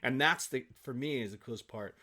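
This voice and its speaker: Guy on a podcast, actor guest, chatty and gushing, animated storyteller, normal pitch. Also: a little fast. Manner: effusive, animated storytelling with acted-out quotes. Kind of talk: casual podcast conversation.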